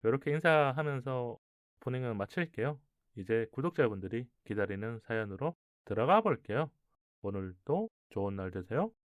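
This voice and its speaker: A man's voice: medium pitch, lively intonation, normal speed, no echo, highs cut off. A man hosting a radio show in a conversational style, talking to listeners and a guest.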